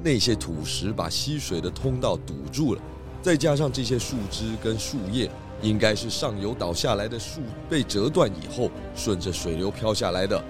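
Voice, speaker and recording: A man with a Taiwanese accent voicing a calm, authoritative pig detective in a children's audio drama, with a measured, explanatory manner. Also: on the low side, over music, natural voice. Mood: grave. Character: calm, authoritative male pig detective who loves food.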